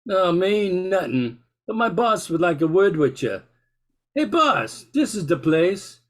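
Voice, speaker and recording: Man doing a slow, dopey gangster henchman. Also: high-pitched, very animated. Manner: slow-witted, halting. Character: dim-witted male gangster henchman in his thirties. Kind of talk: amateur radio drama acting.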